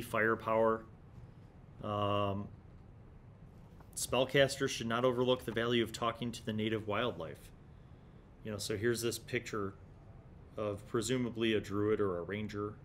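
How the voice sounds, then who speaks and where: Middle-aged man, rumbly background noise. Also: a bit bright. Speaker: host of a tabletop gaming review series. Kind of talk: casual review commentary, reading magazine excerpts aloud. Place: small indoor studio room. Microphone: desk microphone in front of him.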